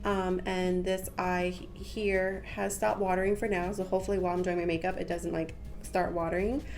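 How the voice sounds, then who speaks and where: A woman's voice: on the low side, little pitch movement, moderate pace, a little mellow. A young woman with a congested, stuffy voice, chatting casually through a makeup tutorial with lots of "um" and "like" fillers. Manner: chatty, rambling, with many "um" and "like" fillers. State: congested from a cold. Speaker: beauty vlogger. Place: small room at home, patterned wallpaper behind. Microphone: camera at close range, head and shoulders.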